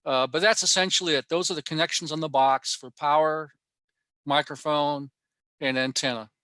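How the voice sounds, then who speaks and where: A man giving an informal technical presentation online, pausing often in mid-sentence as he explains. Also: on the high side, steady tone, sharp tone, no echo. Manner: halting, with frequent mid-sentence pauses. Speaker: amateur radio club presenter. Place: online workshop talk.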